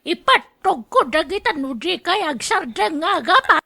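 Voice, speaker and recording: A woman's voice: extremely high pitch, sing-song, a little slow, gravelly, sharp tone, heavily acted. A woman playing a stubborn, proud elderly grandmother in an Ilocano-accented radio drama, scolding and querulous. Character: stubborn, proud elderly mother and grandmother, insisting she is strong.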